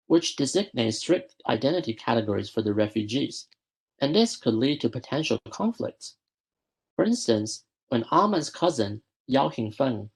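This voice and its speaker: A young man presenting a prepared academic paper at a student research school, reading in a measured way and often correcting himself mid-word. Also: mid-range voice, gravelly, slightly rough. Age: young adult. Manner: measured, with frequent self-corrections.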